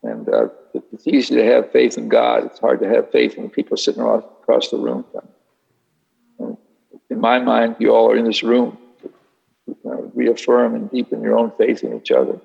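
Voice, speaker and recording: A man leading a Q&A with an audience in a meeting hall, talking in an unhurried, musing way with dry humor. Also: medium pitch, lively intonation, gravelly, slightly rough, lo-fi sound. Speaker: speaker leading a Q&A session. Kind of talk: reflective talk answering an audience.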